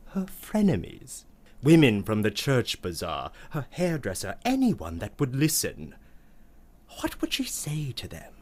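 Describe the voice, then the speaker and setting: An actor playing a prissy, verbose uncle with a pompous, ornate manner in a comic radio play monologue. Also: male voice, medium pitch, a little mellow. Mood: scandalized. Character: prissy, verbose, scandalized uncle telling family tales.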